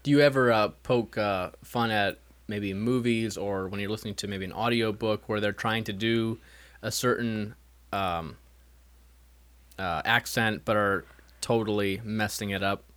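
Lowish-voiced American man co-hosting. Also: steady tone, measured pace, a bit bright. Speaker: co-host. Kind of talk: casual chat.

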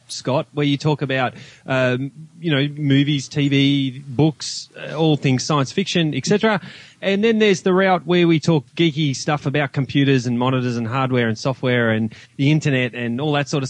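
An Australian man talks as a guest on a podcast. His voice is a bit higher than average and closely recorded with a dry sound. He is informal and matey. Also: dry recording. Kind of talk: casual podcast chat.

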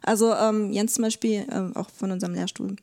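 A woman's voice: medium pitch, steady tone, brisk, dark tone. A woman speaking as a legal academic guest, explaining in conversation, careful and hesitant as she searches for words.

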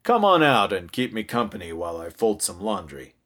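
A protective father from a storybook, acted by a male narrator, speaks plainly and firmly. He sounds exasperated but caring, with very lively intonation. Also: fairly low pitch, a little fast. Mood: casual calling.

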